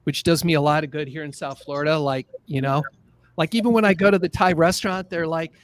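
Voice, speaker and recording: A man's voice: a little high, steady tone, fast talker. A man in his fifties, a guest on a podcast, telling his story in an upbeat, self-deprecating way. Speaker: guest, business association president. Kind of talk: casual interview storytelling.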